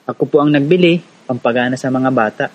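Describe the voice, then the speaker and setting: A man's voice: medium pitch, fast talker, highs cut off, slight background noise, lightly acted. An actor playing an earnest young Filipino village activist who narrates his own story in a radio drama. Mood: respectful. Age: young adult. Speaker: narrator and lead character. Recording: music under the voice.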